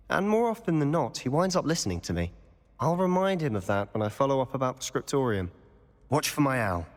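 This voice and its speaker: A man as a brooding, low-voiced young wizard. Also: natural voice. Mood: assured. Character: brooding, persuasive teenage wizard schoolboy guarding secrets. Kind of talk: video game cutscene acting. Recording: long hall-like reverb.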